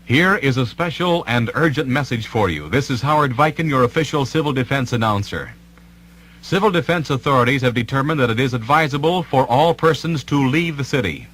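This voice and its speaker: A man reading an official emergency announcement in a vintage civil defense radio style, formal, deliberate and authoritative. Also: medium pitch, animated, fairly bright tone.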